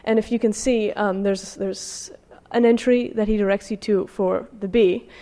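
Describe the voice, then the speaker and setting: Woman, long hall reverb, medium pitch, academic lecturer, scholarly and somewhat halting delivery. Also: steady tone, dark tone. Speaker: academic lecturer presenting research. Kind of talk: academic talk with slides, reading out quotations.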